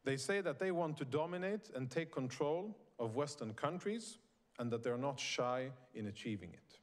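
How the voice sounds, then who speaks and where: A man who grew up in Sweden, giving a prepared speech to a live audience at a conference, in a measured, emphatic and deliberate way. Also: mid-range voice, expressive. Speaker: conference speaker. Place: conference venue in New York.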